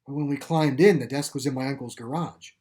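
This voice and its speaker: A man's voice: medium pitch, a little fast, a little mellow, natural voice. Man reading as a guarded teenage boy. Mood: bitter, guarded. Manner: wary, terse, matter-of-fact. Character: guarded, world-weary fifteen-year-old boy.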